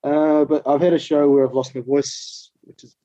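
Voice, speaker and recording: A relaxed man chats as a musician guest, drifting through stories with plenty of ums. The sound is dry and a little dull, with no room around it. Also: a little high, normal speed, no room sound. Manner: relaxed storytelling with frequent ums and swearing. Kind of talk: casual interview chat. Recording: slightly dull dry recording.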